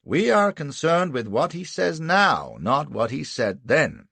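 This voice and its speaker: A man voicing an indignant, blustering male defence lawyer in an audiobook, pompous and forceful in formal speech. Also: fairly high pitch, big pitch swings, unhurried, gravelly, breathy edge, no echo, voice pushed up, heavily acted. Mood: objecting. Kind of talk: audiobook character acting.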